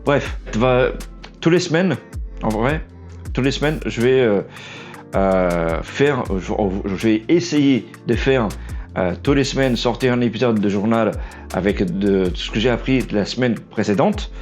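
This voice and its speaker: Halting Portuguese-accented man with a tired voice. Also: mid-range voice, expressive, a little mellow, soft background music. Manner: halting, stumbles and restarts often, self-deprecating. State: losing his voice. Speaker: aspiring trailer editor, vlog host. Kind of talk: unscripted casual vlog monologue.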